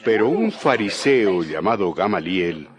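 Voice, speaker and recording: A man's voice: on the low side, very animated, a little slow, a loud crowd. A man narrating a dramatized audio Bible as a scripted storyteller. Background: music.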